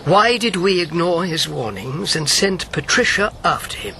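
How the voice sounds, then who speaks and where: An actor playing a principled, combative elderly former wartime agent in a radio drama, pointed and sardonic. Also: male voice, fairly high pitch, big pitch swings, normal speed, vocal fry, bright, forceful tone, a little hiss or hum, full character voice. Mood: indignant. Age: older. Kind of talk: broadcast radio drama acting.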